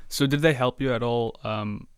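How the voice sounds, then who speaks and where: Male podcast host, clear voice, dry room, brief casual questions. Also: medium pitch, moderate pace, no echo.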